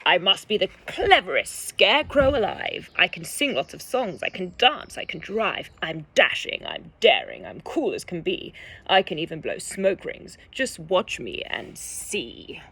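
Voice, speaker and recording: A man's voice: sits high, wildly swooping pitch, unhurried, creaky, sharp tone, slightly rough, heavily acted. A woman as a cocky, boastful male scarecrow. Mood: boastful, dismissive. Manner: cocky, bragging.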